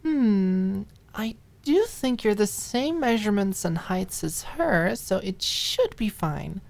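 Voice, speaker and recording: A woman's voice: medium pitch, sing-song, takes it slowly, gravelly, a little mellow, pitched above the performer's own voice, full character voice. A woman voicing a playful young fashion designer in an audiobook drama, chatty, flippant and fussing. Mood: focused, reassuring.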